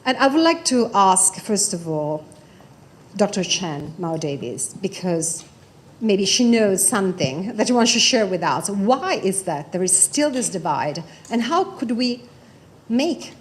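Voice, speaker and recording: A woman's voice: mid-range voice, lively intonation, measured pace. A woman chairing a panel discussion, formally introducing guests and then putting questions to them.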